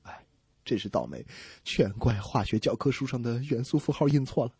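A man's voice: medium pitch, normal speed, warm tone, breathy edge, dry recording. A man hosting a comedy radio show, telling jokes and bantering in a playful, exaggerated way. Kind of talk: joke-telling banter between songs.

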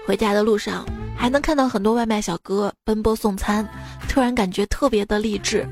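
A woman's voice: medium pitch, brisk, a little mellow. A young adult woman hosting a radio joke show, reading quips in a playful, teasing way and laughing at her own lines. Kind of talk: reading jokes with laughs, casual radio talk. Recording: music bed under the voice. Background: background music.

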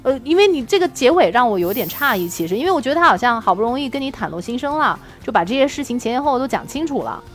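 A woman's voice: a little high, very animated, rapid-fire, few pauses. A woman co-hosting a casual chat, asking frank questions. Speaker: podcast co-host questioning the author. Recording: music playing behind the talk. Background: background music.

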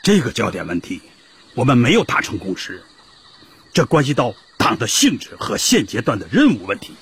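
A man's voice: medium pitch, wildly swooping pitch, moderate pace, a bit bright, husky, exaggerated voice. An actor playing a resolute male intellectual delegate, speaking emphatically in a historical radio drama.

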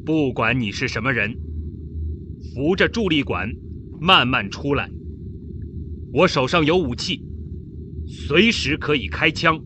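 An actor playing a tense, protective spaceship captain and uncle in a radio drama, commanding and earnest, with ambient noise behind him. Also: male voice, medium pitch, measured pace, long pauses. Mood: stern, threatening. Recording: noisy cabin-like ambience. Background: steady ambient noise.

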